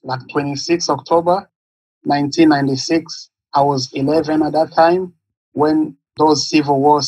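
Husky-voiced man from Congo telling his story. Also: a little high, no room sound, rough recording. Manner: halting, searching for words, non-native English phrasing. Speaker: guest, nonprofit founder. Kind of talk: interview, personal story.

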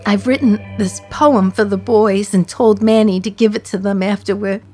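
An actress playing a condemned woman in her thirties, speaking slowly and reflectively with a wry edge. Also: mid-range voice, fairly even intonation, dark tone, soft background music, natural voice. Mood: tender. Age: 30s. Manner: slow reflective delivery, wry humor. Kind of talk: radio play monologue.

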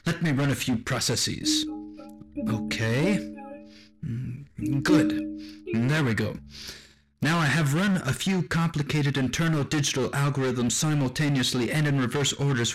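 A man playing a cold, condescending machine in a radio drama, speaking deliberately and with an air of superiority. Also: medium pitch, over music, lightly acted, poor audio. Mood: calculating, proud. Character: cold, superior music-making machine with ambitions of control.

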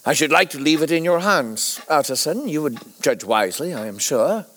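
Guy doing a tormented victorian doctor, highish voice that swings all over, halting, agitated. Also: expressive, fairly bright tone, slight background noise. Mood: uneasy, evasive. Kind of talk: period audio drama acting.

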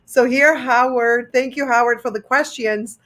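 A woman's voice: a little high, fairly even intonation, a little slow, fairly bright tone. A woman interviewer with a mid-range voice who keeps things supportive. She answers with short, encouraging reactions and reads out listener questions. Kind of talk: casual interview.

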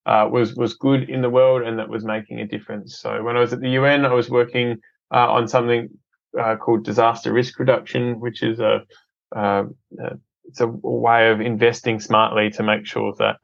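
A man's voice: mid-range voice, little pitch movement, normal speed, creaky, no room sound, highs cut off. An Australian man from Adelaide, a podcast guest speaking earnestly in casual interview talk, often repeating words as he restarts. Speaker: podcast guest, film company head.

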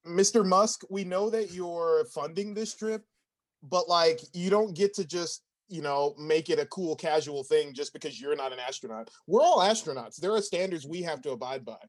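A woman's voice: sits low, moderate pace. Woman playing an exasperated, by-the-book astronaut. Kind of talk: improvised comedy sketch.

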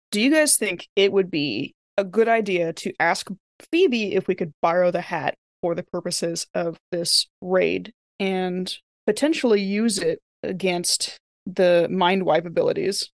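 A woman playing a tabletop roleplaying game, chatting casually and thinking aloud in an animated, informal way. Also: lowish voice, a little slow, dry recording, studio quality.

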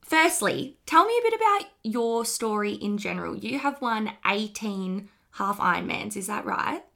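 Woman, Australian accent, podcast host, enthusiastic, slightly croaky, crisp bright tone. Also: medium pitch, big pitch swings, moderate pace. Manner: enthusiastic, gushing. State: croaky from an early start. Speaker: podcast host, health teacher. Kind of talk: upbeat podcast interview.

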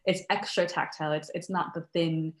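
Young american woman talking crochet on a podcast, lowish voice, lots of ums, roomy echo. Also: fairly even intonation, brisk. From United States. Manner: chatty, laughs often, many ums and restarts. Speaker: podcast guest, crochet designer. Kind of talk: casual interview chat.

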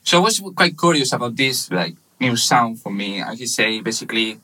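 A man in his thirties from Spain with a Spanish accent, speaking English as a second language, telling his story haltingly in a casual interview with many fillers. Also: mid-range voice, lively intonation, bright, forceful tone, low-quality recording. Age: 30s. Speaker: guest, webshop manager.

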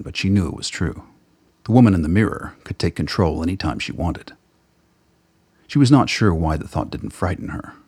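A man's voice: low voice, darkish tone, breathy edge, no room sound, studio quality. A man reading scripted narration for an audio drama.

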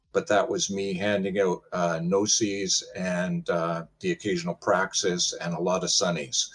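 Low husky older man, rambling and wry. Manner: rambling, self-deprecating, wry humor. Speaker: pitching guest on a game panel show. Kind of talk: casual chat.

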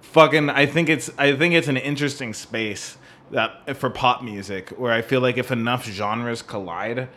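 A man co-hosting a music podcast, talking casually and analytically in a halting, rambling way with some swearing. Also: medium pitch, sharp tone, a little hiss or hum.

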